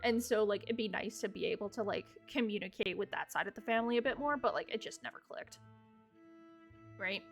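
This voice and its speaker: A woman streamer chatting casually with a guest, giving quick friendly responses. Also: mid-range voice, soft background music. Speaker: streamer, tarot reader. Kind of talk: casual livestream chat.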